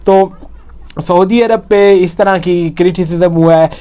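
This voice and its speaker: A man's voice: sits high, fairly even intonation, unhurried, dark tone, slight background noise. A man with a Pakistani accent presenting news with explanatory commentary, often rephrasing and repeating his points.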